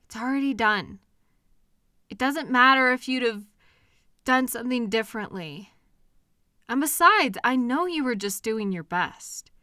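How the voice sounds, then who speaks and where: Woman playing protective loving partner, higher-pitched, bright clear-cut tone, gentle, reassuring, intimate comfort roleplay. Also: no room sound, clean studio sound, exaggerated voice. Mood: gentle reassurance. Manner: gentle, reassuring, earnest, with hesitant pauses. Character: caring, protective partner consoling a hurting loved one. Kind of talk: intimate comfort audio roleplay, one-sided conversation.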